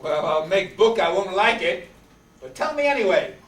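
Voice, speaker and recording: In an echoey room, away from the mic, a man plays a cocky noir wise guy with a high male voice. He is sardonic, slangy and flirtatious, a radio drama hustler after money. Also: sing-song, moderate pace, fairly bright tone. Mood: cocky, teasing. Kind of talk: 1950s radio noir acting on stage. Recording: echoey room, distant mic, background noise.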